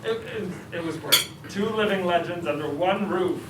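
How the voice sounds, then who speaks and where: Casting: man to play an enthusiastic young son, high-pitched, in a staged reading heard from afar amid room noise. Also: normal speed, lightly acted. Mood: awed, enthusiastic. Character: eager, excitable young soldier son, admiring great generals. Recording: roomy, distant mic, clattering restaurant noise.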